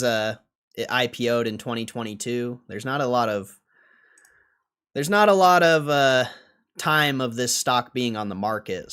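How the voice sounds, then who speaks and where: A man's voice: mid-range voice, a bit bright, clear, resonant tone. A man hosting a livestream in a casual, blunt and dismissive way, swearing now and then as he answers chat. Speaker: livestream host.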